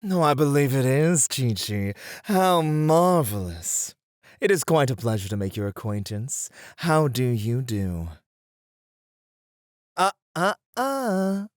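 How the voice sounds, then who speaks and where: Courtly, theatrically expressive male etiquette tutor, played by a man. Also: mid-range voice, slow, dry recording, studio quality. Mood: courtly, welcoming. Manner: formal, theatrical, encouraging, elaborately polite. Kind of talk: ASMR roleplay acting addressed to the listener.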